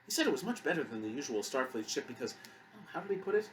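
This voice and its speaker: A man voicing a kindly elderly woman, a gentle and talkative grandmother in an audiobook story. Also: mid-range voice, sing-song, quick pace, distant mic, a little hiss or hum, pitched above the performer's own voice, close to an everyday voice. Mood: fond, chatty.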